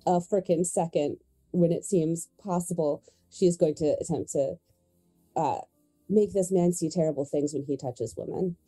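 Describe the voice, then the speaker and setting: Mellow, dark-toned woman playing tabletop roleplay. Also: fairly low pitch, moderate pace, faint music behind. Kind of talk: improvised character description in a tabletop game.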